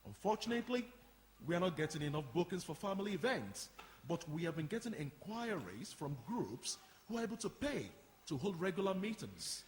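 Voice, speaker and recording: A man's voice: high-pitched, sing-song, brisk. An actor playing a courteous, practical community centre man who explains things politely in a radio play. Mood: upbeat, explanatory. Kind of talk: radio drama acting before an audience. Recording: reverberant hall, faint background noise.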